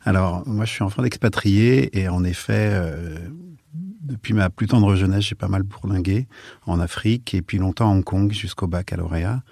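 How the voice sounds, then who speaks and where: A French man, an architect, answering interview questions in a measured, soft way with many fillers. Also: low-pitched, gravelly, breathy edge, no echo, studio quality.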